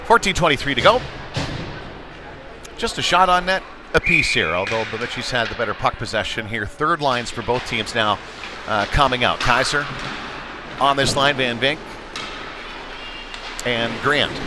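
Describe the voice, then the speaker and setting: A man's voice: normal speed, pauses often. A man giving live play-by-play commentary of a youth hockey game, following the action and lifting his voice at big plays. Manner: running description with excited shouts at big plays. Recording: noisy arena with rumble and thuds.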